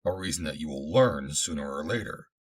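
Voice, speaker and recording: A man playing an honourable adventurer who speaks formally and earnestly, commanding yet gentle, in an adventure story. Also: very deep voice, lively intonation, a little fast, breathy edge, exaggerated voice. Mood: gentle warning.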